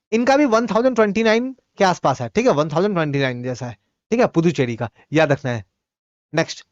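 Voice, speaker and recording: A man whose first language is Bhojpuri, teaching exam-prep geography quiz questions in Hindi. He reads each question out slowly, then explains the answer with quick, repetitive asides. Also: high voice, dry recording, highs cut off.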